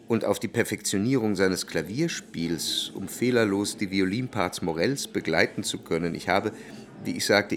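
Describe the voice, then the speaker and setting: An actor playing a refined first-person narrator in a radio drama, speaking in a measured, precise, reflective literary manner. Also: male voice, on the low side. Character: reflective, refined male narrator recalling Parisian salon society. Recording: faint music behind the voice.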